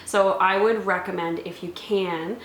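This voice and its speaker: A woman's voice: medium pitch, fairly even intonation, unhurried, fairly bright tone. A woman talking casually as a game master and co-host, explaining things in a review conversation. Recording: roomy echo, faint background noise.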